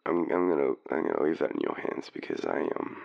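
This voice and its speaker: A man's voice: a bass voice, expressive, fast, creaky, lo-fi sound, exaggerated voice. A man with an Australian accent playing a drunk, shy, apologetic young man in an intimate boyfriend roleplay, stammering through a late-night call. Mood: sheepish, eager. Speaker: audio roleplay performer.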